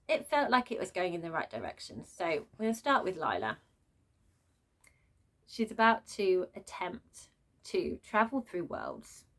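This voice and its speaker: A woman giving an unscripted book review as a rant, with an animated, sarcastic way of talking. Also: mid-range voice. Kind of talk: unscripted book rant with read-aloud excerpts.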